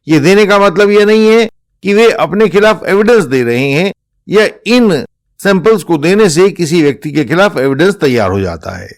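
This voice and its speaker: Lively, higher-pitched Indian man narrating. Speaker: legal explainer host. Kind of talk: scripted legal explainer narration.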